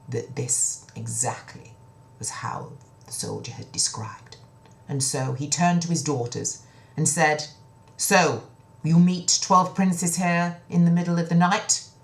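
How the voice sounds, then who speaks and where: A woman's voice: extremely low pitch, vocal fry, a little mellow, echoey room, a little hiss or hum. A woman telling a children's story in a dramatic, theatrical way, repeating words for suspense.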